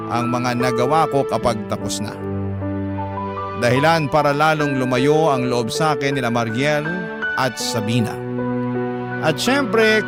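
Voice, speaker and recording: A man playing a sorrowful, troubled Filipino father, narrating his story for radio drama in a reflective, earnest, plaintive way. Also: mid-range voice.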